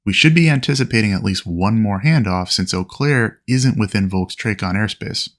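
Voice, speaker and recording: Man, low voice, flight instructor, instructional narration, methodical step-by-step explaining. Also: normal speed. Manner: clear, methodical explaining with aviation radio phraseology.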